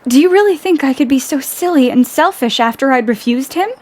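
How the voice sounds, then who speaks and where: A woman's voice: high-pitched, animated, brisk, darkish tone. A woman playing a proud, withdrawn young woman in an audio play, speaking bluntly and directly. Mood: surprised, indignant.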